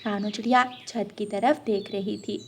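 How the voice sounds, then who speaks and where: A woman's voice: medium pitch, fairly even intonation, moderate pace, darkish tone, slight background noise. A woman reading scripted narration for a children's moral story.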